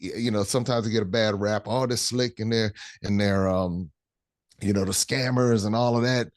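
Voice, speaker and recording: A man's voice: fairly low pitch, steady tone, moderate pace, dry recording, studio quality. An American man in his forties, a travel guest speaking earnestly and emphatically in an interview. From United States. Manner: earnest, emphatic, repeats phrases for stress. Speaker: guest, travel tour organizer. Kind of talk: conversational interview.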